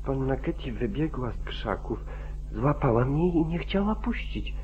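Frightened, pleading young farmhand, phone-like sound. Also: male voice, mid-range voice, very animated, unhurried. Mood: agitated, explaining. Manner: pleading, repetitive, protesting. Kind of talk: old radio drama acting. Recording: muffled, narrow-band 1940s radio recording.

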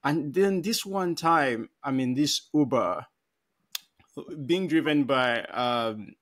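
A man's voice: mid-range voice, lively intonation, slow, a bit bright. Rambling, laughing man on a headset call. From Africa. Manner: rambling, self-correcting, laughs often at himself. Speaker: podcast co-host. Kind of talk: casual conversational storytelling. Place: small room at home. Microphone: over-ear headset on a video call.

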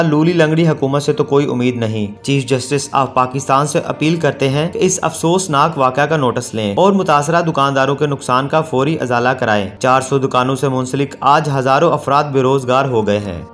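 Formal male news voice-over. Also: medium pitch. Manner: formal, declaiming. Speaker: news narrator.

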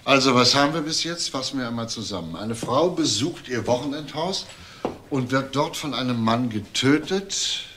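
An actor playing a methodical middle-aged police detective, deliberate and analytical in a crime drama. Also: male voice, medium pitch, expressive, noisy background, lightly acted.

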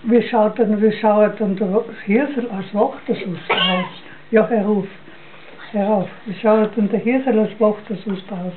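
An older woman with a Styrian Austrian accent recites a dialect poem from memory, halting and repeating phrases, on a lo-fi home recording. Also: medium pitch. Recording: dull lo-fi home recording, noisy.

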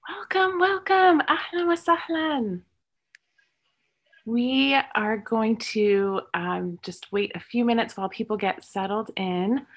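A middle-aged woman hosting a children's Arabic storytime from home over a webcam, cheerful and encouraging, slowly repeating words for the kids. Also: a little high. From born and raised in English-speaking countries, Palestinian roots. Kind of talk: teaching numbers to young children over a video stream. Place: home room with shelves of toys and books. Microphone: webcam at close range, video call.

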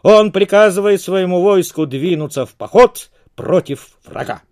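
A man's voice: high-pitched, animated, normal speed, darkish tone, dry recording, clean studio sound. A man giving a dramatic, declamatory scripted narration, like a storyteller accompanying a silent film.

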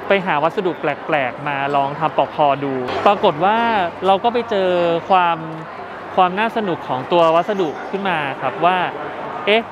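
A young man with a rather high voice talks through his wares at an indoor expo booth. He is friendly and explanatory, pausing often on fillers. The camera mic picks him up close, with a noisy hall behind him. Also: lively intonation. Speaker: brand founder presenting products. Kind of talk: casual product pitch. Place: trade show booth, indoors.

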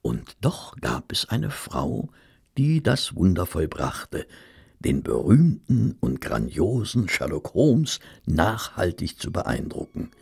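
An actor playing a courteous, reverent gentleman who narrates a detective friend's cases in an audio drama, formal and measured, with dramatic pauses and a gravelly voice. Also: sits low, big pitch swings, heavily acted. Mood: hushed, reverent.

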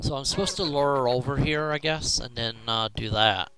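A man's voice: mid-range voice, moderate pace. A man giving casual gameplay commentary, muttering to himself as he plays a video game. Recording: clear background noise.

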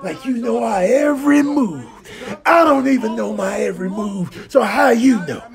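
Guy doing a mad venomous snake, loud rant, pushed up high, comedy animal dub. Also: expressive, normal speed, creaky, heavily acted. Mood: furious. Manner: loud, ranting. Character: furious, venomous snake sick of humans.

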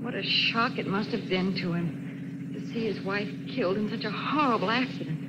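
A woman's voice: medium pitch, normal speed, natural voice. A woman playing a sleuth's anxious, inquisitive lady companion in 1940s radio drama style. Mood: concerned. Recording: dull, very noisy vintage radio recording.

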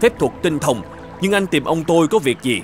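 A man's voice: fairly high pitch, expressive, moderate pace, faint music behind, close to an everyday voice. A man voicing a helpful young eagle in a children's cartoon. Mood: grateful. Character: grateful helpful young male talking eagle. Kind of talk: children's cartoon fairy-tale dubbing.

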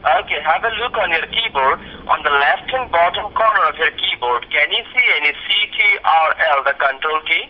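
High-pitched male phone caller, muffled. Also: animated, fairly quick, few pauses. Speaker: call center caller posing as tech support. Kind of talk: scripted phone sales-style instructions. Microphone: phone speaker recorded by a nearby camera. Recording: dull speakerphone call picked up by camera.

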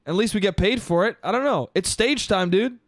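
A man's voice: high-pitched, brisk, no echo. Profane, rambling young male podcaster. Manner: loose, profane, rambling, laughs at own jokes. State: congested. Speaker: podcast host. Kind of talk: casual solo podcast monologue. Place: small bedroom studio. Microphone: boom-arm studio mic up close.

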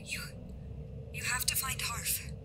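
A woman's voice: on the high side, flat delivery, takes it slowly, noisy background. A woman playing an anxious, hesitant ally who gives urgent guidance in an audio drama. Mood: frantic, anxious. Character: anxious woman ally guiding a detective by phone.

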